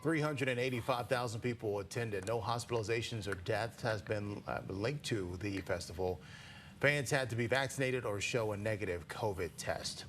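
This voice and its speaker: A man's voice: on the low side, normal speed, fairly bright tone. American male news anchor, some background noise. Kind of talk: morning newscast delivery, scripted with brief banter.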